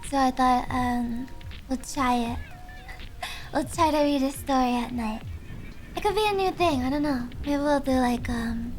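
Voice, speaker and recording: A young woman streaming, chatting softly and hesitantly with viewers with many fillers. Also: high voice, unhurried, low-quality recording. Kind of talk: casual chat with viewers. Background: faint background music.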